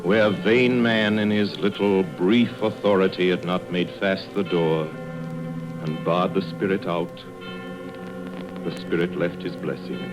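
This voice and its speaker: An actor as a solemn narrator of a ghost story, grave and measured, in 1930s radio style. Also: male voice, low voice. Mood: grave, hushed. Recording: muffled 1939 radio broadcast, music behind.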